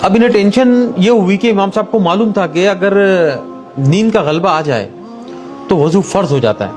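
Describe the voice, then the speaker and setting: Male storyteller, fairly high voice, colloquial religious moral tales, conversational delivery, light music bed. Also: lively intonation, moderate pace, darkish tone. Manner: conversational, colloquial with everyday English words mixed in. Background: background music.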